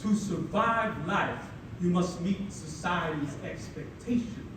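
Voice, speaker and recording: A young man from the Philippines, a native Tagalog speaker, delivers a playful, energetic keynote with a fairly high voice. The sound carries heavy hall echo, is picked up from some distance, and has clear room noise behind it. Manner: energetic, playful storytelling with dramatic pauses. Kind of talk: ceremonial keynote speech. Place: large hall at a ceremony. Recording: echoey hall, mic far off, audible room noise.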